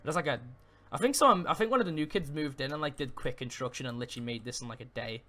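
A man's voice: medium pitch, racing, bright, forceful tone, soft background music. A man chatting through improvised game roleplay, often stammering and repeating his words. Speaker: roleplayer showing others around. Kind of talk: improvised Minecraft roleplay chat.

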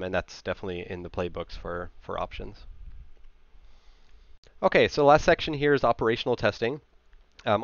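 A man with a low voice presents technical material informally, as if walking through slides. He explains in a halting way with frequent filled pauses. The sound is close and dry, with a slightly dull top end. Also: dry recording. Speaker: conference presenter. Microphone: headset.

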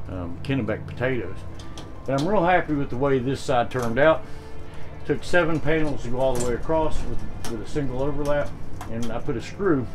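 A man in his fifties vlogging outdoors from a greenhouse frame, chatting plainly and explaining his work step by step to the camera. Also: mid-range voice. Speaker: homesteading vlogger. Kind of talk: casual to-camera vlog. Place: outdoors in a roofless greenhouse frame on a windy day.

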